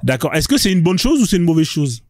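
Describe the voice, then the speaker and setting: A man's voice: fairly high pitch, sing-song, quick pace, a little mellow. A man hosting a live stream debate, pressing his caller with repeated questions and cutting in. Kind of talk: heated debate.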